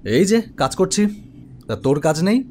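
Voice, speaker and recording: A man's voice: a little high, very animated, a little slow, darkish tone, slight background noise. A man playing an office web developer who narrates his own ghost story in a dramatic, suspenseful way. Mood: conversational. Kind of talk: scripted first-person horror story narration. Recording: music behind the voice.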